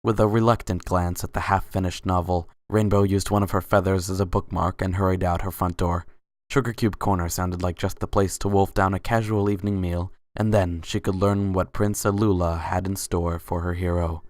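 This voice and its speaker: A man's voice: low-pitched, level tone, moderate pace, no echo, studio quality. A man reading scripted story narration in a dramatic reading of fan fiction.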